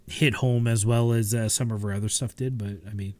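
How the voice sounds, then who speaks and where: A man co-hosting a podcast, talking in a relaxed, rambling way with lots of fillers and restarts. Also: lowish voice, a little fast, no echo. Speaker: podcast co-host. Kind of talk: casual chat.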